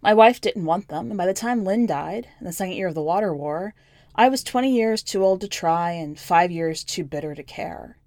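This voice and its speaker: A woman reading scripted first-person fiction as a podcast narrator, with measured, deliberate phrasing. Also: on the low side, expressive, a little mellow, no room sound, clean studio sound. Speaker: podcast host and story narrator.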